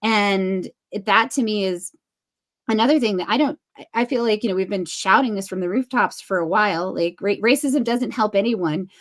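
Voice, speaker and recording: A woman's voice: medium pitch, normal speed. A woman co-hosting a podcast who talks in a casual, thoughtful way, reasoning aloud and often restarting her sentences. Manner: thoughtful, reflective, with frequent restarts. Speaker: podcast co-host. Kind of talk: casual conversation.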